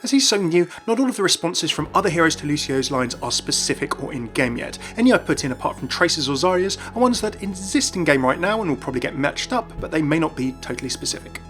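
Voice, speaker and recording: A man's voice: a little high, very animated, fast talker, hardly any pauses, fairly bright tone. A man hosting a gaming video, reading scripted narration. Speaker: YouTube video host. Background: music.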